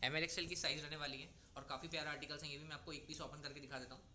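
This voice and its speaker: Fast-talking male wholesale seller. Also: fairly high pitch, flat delivery, noisy background. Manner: persuasive, reassuring sales patter. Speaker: wholesale seller presenting stock. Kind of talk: product showcase pitch to retailers. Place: clothing warehouse or shop.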